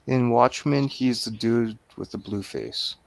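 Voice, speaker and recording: A man's voice: medium pitch, measured pace, creaky, dry recording. A man bantering casually about films as a panelist, in short, blunt remarks. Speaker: film review show panelist.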